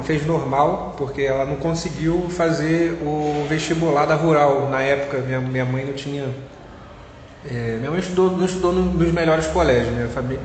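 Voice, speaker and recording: Carioca young man, halting, echoey room. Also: fairly high pitch, a little mellow. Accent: Carioca Brazilian Portuguese. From Rio de Janeiro, Brazil. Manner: hesitant, many fillers and restarts. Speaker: interviewee, physics student. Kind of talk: casual interview chat. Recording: echoey room with long reverb, faint noise.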